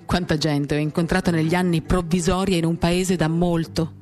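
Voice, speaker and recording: Low-voiced woman reading dramatically over guitar. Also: little pitch movement, normal speed, darkish tone. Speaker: reader of a novel for radio theatre. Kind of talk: expressive literary monologue reading. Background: acoustic guitar music.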